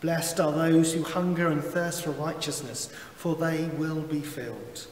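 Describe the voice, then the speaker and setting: A man in his thirties leading worship as a chaplain, reading psalms and prayers in a slow, measured liturgical way, recorded in a small chapel from a camera at a distance. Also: on the high side, steady tone, a little mellow, roomy sound, big-room sound, a little hiss or hum. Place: small chapel with wood-panelled wall. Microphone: far camera, seated behind a lectern.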